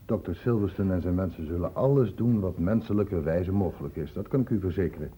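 An actor playing a persistent male doctor investigating an infection in a Dutch radio drama, questioning deliberately. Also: low-pitched, warm tone, slightly rough. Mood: calm, tactful.

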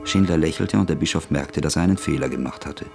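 A man narrating a radio play in a measured storytelling way. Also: extremely low pitch, gravelly, over music.

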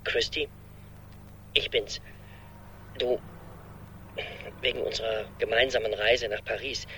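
Anxious boyfriend, bright forceful voice. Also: male voice, on the low side, fairly even intonation, takes it slowly, slight background noise, poor audio. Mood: pained, reluctant. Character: anxious, hesitant boyfriend leaving phone messages. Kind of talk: radio play acting.

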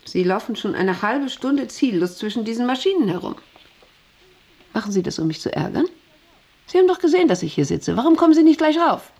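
An actress playing a proud, volatile factory boss in a radio drama, imperious and intense, obsessed with power. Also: female voice, mid-range voice, animated, brisk, breathy edge. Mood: irritated, imperious. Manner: imperious, intense, swinging between hushed and vehement. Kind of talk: German radio drama acting.

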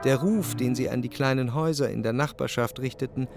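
A man playing a reflective, jealous lover who narrates his memories in measured literary prose for a radio drama. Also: mid-range voice, gravelly, darkish tone, faint music behind, close to an everyday voice. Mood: contemplative remembering.

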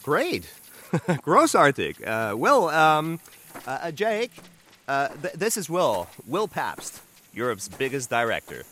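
An actor playing a slick, glib Hollywood film producer, gushing in a radio play. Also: male voice, on the high side, wildly swooping pitch, slow pace, fairly bright tone, a little hiss or hum, heavily acted. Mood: jovial, effusive. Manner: gushing, glib, tag questions.

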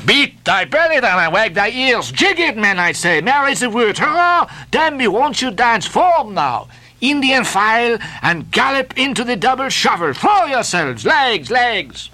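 A man voicing a lively male sailor who eagerly urges the crew to dance. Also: very high voice, a little slow, pitched above the performer's own voice, full character voice. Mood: excited. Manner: excited, urging and cheering.

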